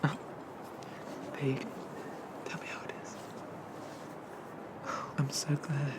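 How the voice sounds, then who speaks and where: A performer acts out a kindly, bashful male monster who works as a coffee shop barista in an ASMR roleplay. The voice is mid-pitched and often drops into a whisper. The delivery is slow, soft and hesitant, with reassuring, chatty small talk. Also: flat delivery, lightly acted. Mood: kind, helpful.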